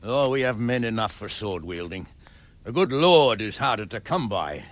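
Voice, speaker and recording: Game role: grave medieval commander, low, measured and wry, with lively intonation. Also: male voice, no echo. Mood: wry, concerned. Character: grave, pragmatic medieval nobleman, seasoned commander. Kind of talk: dramatic video game acting.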